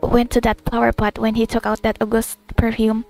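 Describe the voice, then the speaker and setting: A young woman reacting casually to a video game, with murmured asides and expressive exclamations. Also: on the high side, fairly quick. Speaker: gaming video creator. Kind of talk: casual spoken reactions to gameplay. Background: faint game music.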